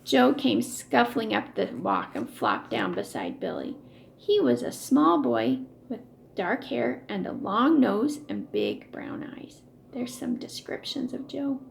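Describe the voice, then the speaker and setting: A woman reading a children's story aloud in an expressive, emphatic, deliberate way. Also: on the high side, creaky, a little hiss or hum. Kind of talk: expressive read-aloud narration with acted dialogue.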